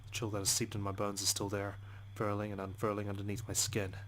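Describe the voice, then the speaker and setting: A man's voice: lowish voice, completely flat delivery, fast, fairly bright tone, slight background noise. A man hosting a let's play, reading visual novel narration expressively with side comments and frequent swearing.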